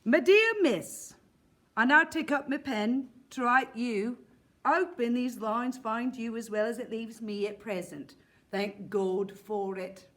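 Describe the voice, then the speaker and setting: Woman as shy middle-aged farmer, rustic, humble and hesitant, fairly high-pitched, for a stage letter reading. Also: slow pace, a bit bright. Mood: timid, hopeful. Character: timid, earnest middle-aged Yorkshire farmer man proposing marriage.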